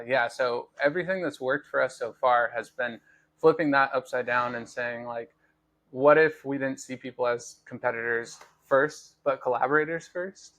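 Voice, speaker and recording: A man's voice: medium pitch, a bit bright. A man hosting a podcast, explaining his work in an earnest, thoughtful way with frequent fillers and restarts. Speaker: podcast host, grower. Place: Los Angeles studio.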